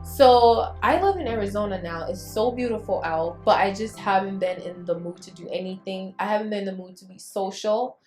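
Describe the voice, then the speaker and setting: A woman's voice: mid-range voice, brisk, hardly any pauses, a little mellow, faint music behind. Woman chatting candidly in an echoey room. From Liberia. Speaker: vlogger hosting a YouTube channel. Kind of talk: casual confessional chat to camera.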